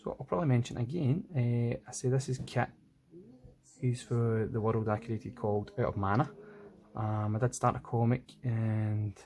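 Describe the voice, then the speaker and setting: A man's voice: medium pitch, steady tone, moderate pace, a little mellow. A man with a Scottish accent giving casual commentary as he draws, thinking aloud in an informal way. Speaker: illustrator narrating own drawing process. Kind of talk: casual art vlog commentary. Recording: music playing in background. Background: background music.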